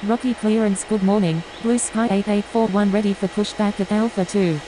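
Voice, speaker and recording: A woman voicing a brisk airline pilot in a game, making scripted radio calls in clipped procedural phrasing. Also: mid-range voice, lightly acted. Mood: businesslike. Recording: noisy capture with steady whirring hiss.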